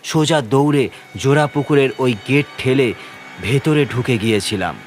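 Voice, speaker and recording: A man's voice: mid-range voice, normal speed, faint music behind. A man narrating a scripted horror story in the first person, with a suspenseful, theatrical delivery.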